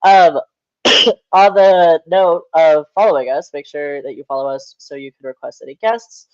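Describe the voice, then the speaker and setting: A man's voice: a little high, moderate pace, dry recording. A young man with a stuffed-up, nasal voice hosts a casual podcast, reading out stats and updates with plenty of ums and restarts. The sound is dry and a little dull, like a modest home mic. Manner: rambling, self-correcting with fillers. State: congested, nasal. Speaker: podcast host.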